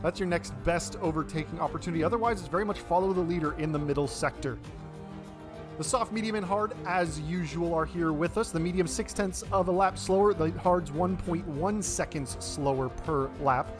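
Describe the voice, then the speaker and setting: A man's voice: on the high side, a little fast, music in the background. A man with an American accent giving chatty play-by-play commentary on a sim racing league race. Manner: chatty play-by-play with fillers and self-corrections.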